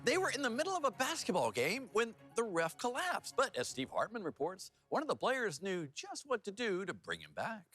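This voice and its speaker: Animated higher-pitched male news anchor. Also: normal speed, few pauses, husky, soft background music. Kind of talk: television newscast with casual co-anchor banter.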